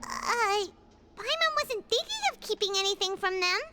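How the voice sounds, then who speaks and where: A woman voicing a small, childlike, excitable fairy companion in a game, with a squeaky cartoonish voice. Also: moderate pace, exaggerated voice. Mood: sheepish. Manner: excitable, exclaiming, refers to herself by name. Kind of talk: animated game voice acting.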